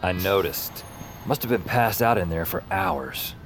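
An actor playing a wry, tipsy, hard-boiled antihero who narrates an audio drama with sardonic, muttered asides. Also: male voice, low voice, unhurried, a little hiss or hum. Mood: wry, bewildered. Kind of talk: first-person noir narration and dialogue, audio fiction. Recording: music behind the voice.

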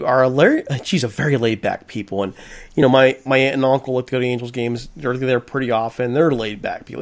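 Podcast host, man, mid-range voice, easy conversational delivery, long rambling questions. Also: mid-range voice. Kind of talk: casual podcast chat.

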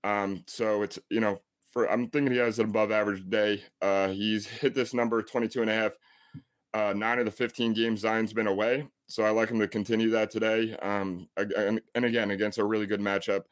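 Deep-voiced hesitant betting analyst man. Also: little pitch movement, brisk. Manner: hesitant, many uhs and ums.

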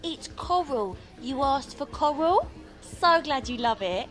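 A British woman playing a chatty, bossy hairdresser in an audio comedy drama, gushing, familiar and brisk. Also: high-pitched, expressive, faint music behind. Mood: chatty, cheerful.

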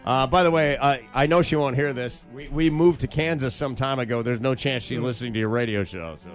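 A man hosting a radio show, telling stories and bantering casually and comedically in an animated way. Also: mid-range voice, a little fast, soft background music. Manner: animated storytelling. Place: radio studio.

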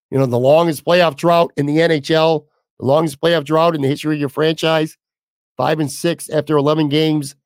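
A hoarse man, slightly high-pitched for a man, running a solo sports talk show. He talks bluntly and casually and lets a curse slip now and then. The sound is dry and close, with no room echo. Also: darkish tone. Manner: candid, blunt, casual with mild swearing. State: losing his voice, hoarse. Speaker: podcast host.

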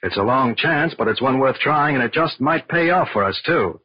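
An actor playing an observant, earnest FBI agent in a 1940s radio crime drama, with a raspy, matter-of-fact voice. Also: male voice, mid-range voice, lively intonation, moderate pace, no room sound. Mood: earnest, hopeful. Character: observant, steady male FBI agent, junior partner. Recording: muffled, dry old radio recording.

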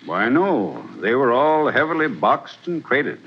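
An actor playing a precise, defensive museum professor who explains himself insistently, in a 1940s radio drama. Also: male voice, mid-range voice, big pitch swings, a little slow, slightly rough, full character voice. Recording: dull 1940s radio broadcast.

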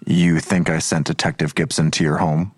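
An actor playing a weary, sardonic police detective in an audio drama, with a dry, blunt, skeptical delivery. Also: male voice, extremely low pitch, flat delivery, moderate pace, husky, dry recording. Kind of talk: naturalistic audio drama acting.

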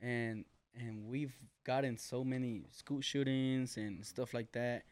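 A man's voice: medium pitch, steady tone. A man in his twenties chatting casually as a podcast guest, earnest and slangy, often repeating himself as he gathers his thoughts. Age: 20s. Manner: earnest, halting and repetitive, slangy. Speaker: podcast guest, small business owner. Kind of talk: casual chat, answering interview questions. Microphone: podcast mic.

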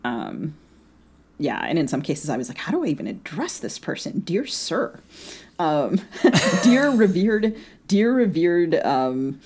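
A woman co-hosting a podcast in casual conversation about books, speaking in a thoughtful, hedging, halting way. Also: low-pitched, big pitch swings, a little mellow, slightly rough. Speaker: podcast co-host and novelist.

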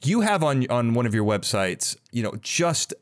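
A man hosting a casual interview, talking in a halting, rambling way and often interrupting himself. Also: mid-range voice, very animated. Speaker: podcast host interviewer.

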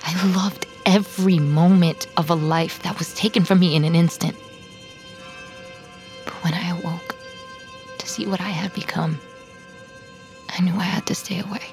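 An actress plays a brooding female vampire with a low, fry-edged voice. She speaks in a measured, guarded way, with hesitant sorrow, over a light music bed in an audio drama. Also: steady tone, natural voice. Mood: sorrowful, reflective. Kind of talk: audio drama narration and dialogue.

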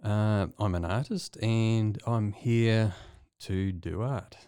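A man's voice: fairly low pitch, takes it slowly, dark tone, clean tone, dry recording, studio quality. A man with a New Zealand accent chatting casually as an interview guest. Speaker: guest, visiting artist.

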